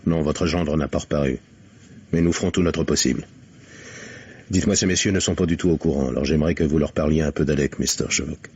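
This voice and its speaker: An actor playing a polite, coaxing police inspector in a French mystery radio play. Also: male voice, very deep voice, fairly even intonation, a little fast, a little mellow, husky, full character voice. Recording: dull vintage radio recording.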